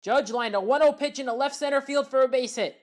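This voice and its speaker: A woman giving a scripted play-by-play recap of baseball highlights in a measured, factual way. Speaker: highlights commentator.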